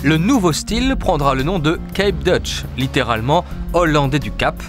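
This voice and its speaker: A man narrates a travel documentary from a studio voice-over booth. His voice sits somewhat high for a man and moves through a lively, wide melodic range. Music plays clearly underneath him. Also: moderate pace.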